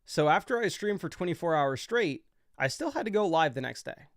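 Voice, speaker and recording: A man's voice: fairly high pitch, expressive, a little fast, no echo, studio quality. A young man hosting a fantasy football livestream, talking loosely and casually with lots of fillers as he explains his picks.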